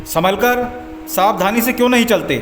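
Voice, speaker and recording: A man's voice: high-pitched, moderate pace, close to an everyday voice. A man voicing a king in a devotional story, with formal, declamatory phrasing. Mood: stern, commanding. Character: commanding male king, stern, later humbly reverent. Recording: echoey voice over music.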